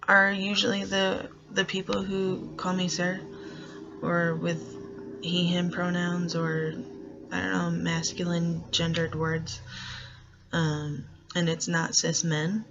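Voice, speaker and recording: Young man with a soft feminine-sounding gravelly voice, slow. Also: high voice, lo-fi sound. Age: young adult. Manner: reflective, hesitant, searching for words. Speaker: college student and grocery worker. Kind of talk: personal documentary monologue.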